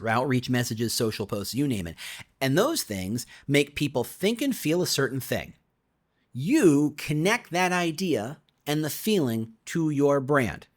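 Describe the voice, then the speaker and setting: A man reading a conversational, scripted explainer in an emphatic way, often putting questions directly to the listener. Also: mid-range voice. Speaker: author reading own business audiobook.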